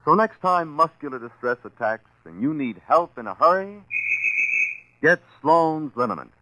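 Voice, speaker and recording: A man's voice: on the high side, animated, moderate pace, heavy background noise. A man announcing and reading commercials on 1940s radio in an emphatic, salesmanlike way. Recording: vintage 1940s radio broadcast.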